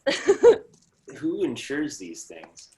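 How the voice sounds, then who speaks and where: A man's voice: mid-range voice, huge pitch swings, slow pace. A man bantering casually as a guest panelist, wry and wordy with a mock-earnest air. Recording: slightly echoey room.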